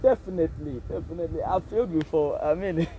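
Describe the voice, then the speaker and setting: A man's voice: a little high, fast, dark tone, slight background noise, poor audio. A young Nigerian man with a Nigerian accent, vlogging in a hesitant, reflective way, full of fillers. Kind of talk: casual self-interview monologue.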